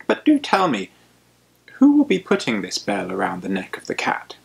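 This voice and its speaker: A man's voice: on the low side, huge pitch swings, normal speed, vocal fry, heavily acted. A man performs a mouse from an animal fable. It is sly and questioning. The words come out pointed, with mock admiration.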